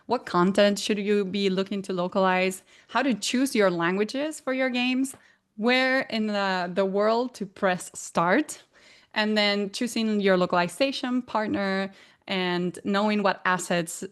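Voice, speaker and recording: A woman in her forties from Argentina, a native Spanish speaker with an Argentine accent, giving a clear, measured presentation. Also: mid-range voice. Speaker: guest presenter. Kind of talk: presenting a talk.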